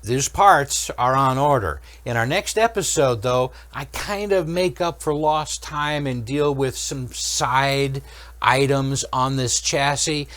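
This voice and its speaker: Guy doing a repair channel, talks thru tech stuff step by step, average pitch, really dry sound. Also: takes it slowly, fairly bright tone, no room sound. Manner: explains step by step, checking in with okay and all right. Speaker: host of an electronics repair channel. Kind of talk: informal technical explanation.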